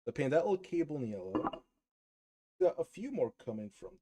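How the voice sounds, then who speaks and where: A man's voice: on the high side, fairly quick. Man with a slight Polish accent. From United States, raised speaking Polish. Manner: relaxed, rambling, many fillers and restarts. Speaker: hobby painting streamer. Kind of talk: casual live-stream chat while painting.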